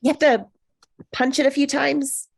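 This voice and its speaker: Woman playing an awkward single woman, creaky voice. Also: high-pitched, steady tone, moderate pace. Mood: awkward, polite. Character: awkward, self-conscious, dry single woman, adult daughter. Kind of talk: comedy table read.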